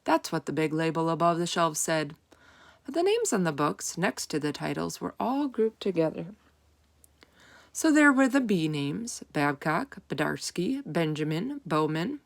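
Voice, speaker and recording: A woman reading a children's storybook aloud as narrator, with expressive, deliberate emphasis. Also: deep voice, creaky.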